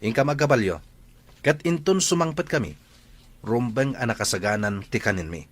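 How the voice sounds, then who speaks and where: A man plays a swaggering young rancher who bosses people around. His medium-pitched voice swings sharply up and down, with an Ilocano accent, as in radio drama. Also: lively intonation, normal speed, close to an everyday voice. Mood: bossy, scornful. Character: bossy, arrogant young rancher, swaggering with women.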